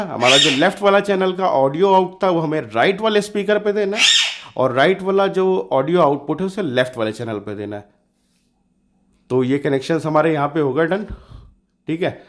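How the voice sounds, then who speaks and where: A man with a fairly high-pitched voice narrates a hands-on DIY tutorial. He talks casually and colloquially, restating points for emphasis as a channel host would. Some room noise comes through in parts of the recording. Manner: informal, colloquial, repeats himself for emphasis.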